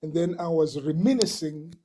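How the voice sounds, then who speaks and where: An older man from Tanzania, a musician being interviewed, telling his stories slowly with many pauses and repeated words. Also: fairly high pitch, mellow, a little hiss or hum. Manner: slow, hesitant, with many uhs and repeats. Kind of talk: relaxed storytelling.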